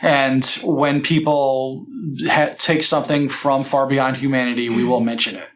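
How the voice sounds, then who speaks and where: A man's voice: mid-range voice, level tone, measured pace. A man acting as game master, explaining tabletop game rules to a group around a table. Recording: roomy echo.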